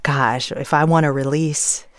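A woman's voice: sits low, steady tone, unhurried, no echo. A woman hosting a radio interview, asking engaged questions from a studio microphone.

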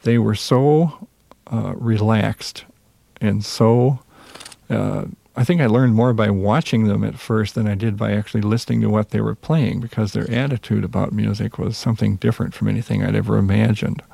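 A man with a Minnesota accent, a jazz musician answering interview questions in a reserved, hesitant way with many pauses. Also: on the low side, steady tone, vocal fry, warm tone, no echo. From Minnesota, USA.

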